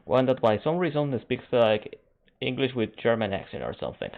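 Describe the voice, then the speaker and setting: Man, second-language English, esports stream host, casual chat, halting phrasing, thin phone-like audio. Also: medium pitch, big pitch swings, vocal fry. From Canada. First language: Spanish. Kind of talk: casual stream chat while waiting for a match. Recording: narrow phone-like band.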